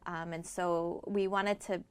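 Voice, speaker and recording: A woman's voice: fairly low pitch, little pitch movement, slow, smooth. Middle-aged woman explaining in an interview. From born in Afghanistan, grew up in rural Iowa. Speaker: interview guest, doctor and nonprofit member.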